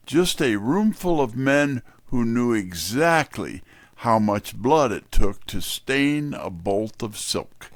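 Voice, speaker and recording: An actor playing a wry, streetwise detective's assistant, narrating a hardboiled mystery in the first person with a dry manner. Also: male voice, medium pitch, vocal fry, no room sound.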